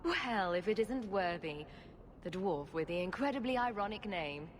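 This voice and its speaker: A woman's voice: mid-range voice, lively intonation, normal speed, big-room sound, slight background noise, lightly acted. An actress voicing a wry, self-assured young woman adventurer in a video game, with a teasing manner. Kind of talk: video game character dialogue.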